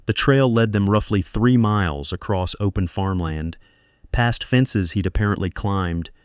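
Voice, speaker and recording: A man narrating a scripted true-crime documentary in measured, dramatic short phrases. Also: lowish voice, dry recording, clean studio sound.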